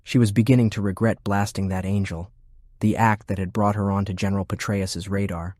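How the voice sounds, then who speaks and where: Guy reading a war fantasy audiobook, lowish voice, dry close sound. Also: flat delivery, brisk, darkish tone, no echo. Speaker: audiobook narrator. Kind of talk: scripted narration of military fantasy fiction.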